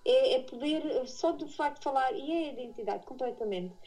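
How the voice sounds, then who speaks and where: A woman's voice: high voice, moderate pace, dark tone, rough recording. A middle-aged woman from Madeira with a Portuguese accent, chatting as an interview guest and correcting herself as she tells her stories. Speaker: interview guest, expatriate aviation instructor. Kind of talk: casual conversational interview answers.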